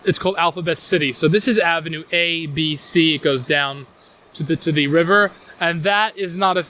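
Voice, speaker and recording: A man's voice: on the high side, normal speed, pushed and bright. A man with a New York accent giving a casual walking tour outdoors on city streets, rambling enthusiastically with fillers and restarts. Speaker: tour guide.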